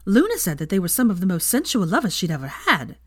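A woman voicing a brash, excitable young woman who teases and gossips smugly in an audiobook-style story. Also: mid-range voice, quick pace, husky. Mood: excited, chatty. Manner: excitable, smug, foul-mouthed.

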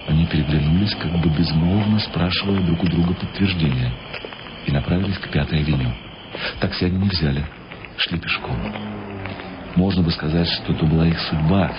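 A man's voice: low-pitched, noisy background. A man reading scripted literary narration in the manner of a radio drama. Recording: dull lo-fi radio sound. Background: music behind the voice.

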